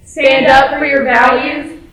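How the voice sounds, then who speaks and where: Young woman, high voice, forceful tone, scripted recitation, echoey distant recording. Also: flat delivery, slow pace. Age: young adult. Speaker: student performer. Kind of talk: scripted spoken-word recitation. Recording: echoey room, distant mic.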